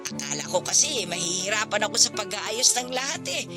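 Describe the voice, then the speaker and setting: A woman's voice: mid-range voice, sing-song, a little fast, natural voice. A woman acting as a caring, chatty elderly grandmother in a radio drama. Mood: pleased. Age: older. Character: caring, cheerful elderly grandmother keeping a secret. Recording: music bed under dialogue.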